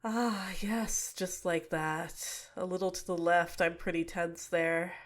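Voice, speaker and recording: A young woman voicing a cute but manipulative female villain in a soft-spoken, teasing ASMR roleplay, recorded close to the mic. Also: lowish voice, steady tone, slow pace. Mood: relaxed, pleased.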